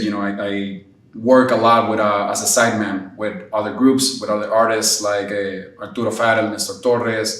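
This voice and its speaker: A man from Puerto Rico, a percussionist, talking casually and hesitantly about his work and family. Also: on the low side. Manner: relaxed, hesitant, many fillers. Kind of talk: casual interview answers. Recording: echoey room, distant mic.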